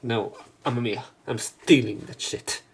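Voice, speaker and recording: A man's voice: mid-range voice, a little slow, a little hiss or hum. A man hosting a let's play, reading a visual novel's narration and dialogue aloud.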